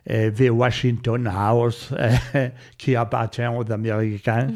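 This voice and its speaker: A man's voice: mid-range voice, little pitch movement, takes it slowly, darkish tone. A man chatting casually in conversation.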